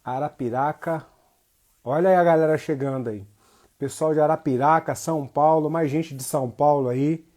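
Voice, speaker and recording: Higher-voiced man with northeastern Brazilian accent. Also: normal speed, darkish tone. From Jacobina, Bahia, Brazil. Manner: informal, slangy, repeats phrases for emphasis. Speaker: capoeira teacher. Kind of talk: casual livestream lesson, greeting viewers.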